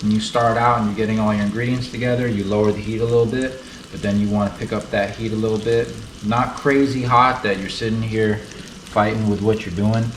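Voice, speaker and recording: A man from the United States hosting a relaxed, chatty cooking tutorial in his kitchen. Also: lowish voice, background noise. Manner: relaxed, chatty, fond of saying beautiful. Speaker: cooking channel host. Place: kitchen with food frying on the stove. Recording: roomy sound, mic set at a distance, sizzling pan.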